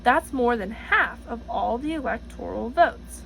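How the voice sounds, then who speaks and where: Woman reading as instructive male schoolteacher, animated. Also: fairly high pitch, unhurried, vocal fry, a little hiss or hum, low-quality recording. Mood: explaining. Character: explanatory male schoolteacher in a storybook.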